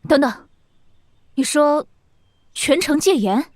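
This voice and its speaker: Casting: haughty young swordswoman heiress, very high voice, fiery temper, animated fantasy. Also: slow pace, no echo, clean studio sound. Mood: demanding. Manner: haughty, fierce. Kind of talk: animation voice acting.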